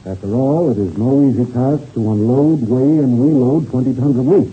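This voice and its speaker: An actor playing a formal, firm air-race official who speaks precisely and with authority in a 1930s radio serial. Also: male voice, mid-range voice, normal speed, slightly rough. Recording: muffled, hissy old radio recording.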